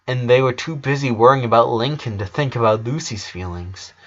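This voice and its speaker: A man's voice: medium pitch, fairly bright tone, lo-fi sound. A man reading scripted story narration as the narrator.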